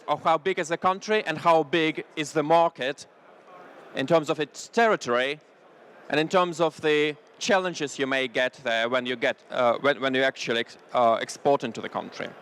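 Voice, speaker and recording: A man's voice: a little high, fairly bright tone, smooth. A young man with a Russian accent, a business consultant presenting a seminar, explaining in a hesitant way with frequent restarts. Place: conference room.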